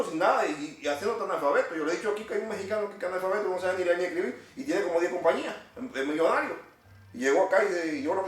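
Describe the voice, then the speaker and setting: A middle-aged man with a Cuban Spanish accent, ranting to camera in an indignant, scolding, repetitive way. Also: high voice. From Cuba. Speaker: vlogger. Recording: echoey room, distant from mic.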